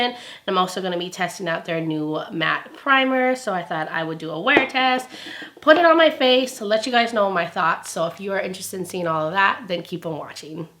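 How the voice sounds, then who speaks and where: A woman in her thirties, a little raspy while she gets over laryngitis, chatting casually through a makeup review at home. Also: mid-range voice, expressive, a little fast. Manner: chatty and upbeat, often drops to a quieter tone while applying. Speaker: beauty vlogger. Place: home filming room with shelves and vanity lights.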